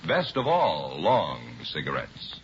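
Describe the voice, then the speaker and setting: A man announcing a 1950s radio advertisement with deliberate, emphatic salesmanship. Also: a bass voice, huge pitch swings. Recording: muffled vintage radio recording, faint noise.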